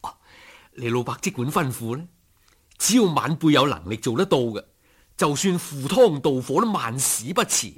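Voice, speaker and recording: A man's voice: mid-range voice, expressive, normal speed, breathy edge. A man playing a humble, earnest young scholar in a told folk story, speaking formally and respectfully. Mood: earnest, loyal. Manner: formal, respectful, self-deprecating. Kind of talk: storybook character acting.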